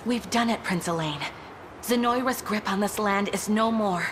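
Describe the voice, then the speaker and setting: A woman's voice: medium pitch, moderate pace, background noise, close to an everyday voice. A woman playing a composed, loyal companion to a prince in a fantasy video game, speaking formally. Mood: triumphant. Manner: formal, poised.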